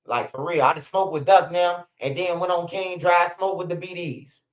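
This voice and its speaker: A man in his forties talks in a slightly high voice, rambling through street stories on a livestream. He is blunt and profane and keeps dropping "bro" and "man." The sound is dull and lo-fi. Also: fairly even intonation, moderate pace. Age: 40s. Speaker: host of a street news channel. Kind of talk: casual livestream storytelling.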